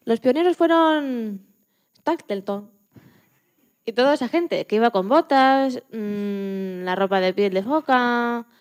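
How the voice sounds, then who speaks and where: A woman from playing a lively, joking retired Antarctic scientist in her eighties in a radio interview, often restarting her sentences. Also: fairly high pitch, clear, resonant tone. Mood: matter-of-fact. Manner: chatty, joking, halting with restarts. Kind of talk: scripted mock radio interview.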